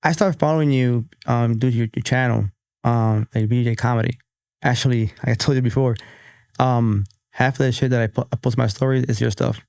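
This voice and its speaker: A man hosting a podcast with a gravelly, mellow voice, talking in a relaxed way with casual swearing. He is recorded in a dry studio. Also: mid-range voice, brisk, dry recording. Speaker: podcast host. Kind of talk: casual interview chat.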